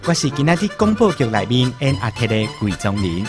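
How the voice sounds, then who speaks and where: A man with a Taiwanese accent reads a scripted radio announcement. His mid-pitched voice moves up and down in a lively way. Harmonica and string music plays clearly behind him. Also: a little fast. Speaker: radio drama voice actor.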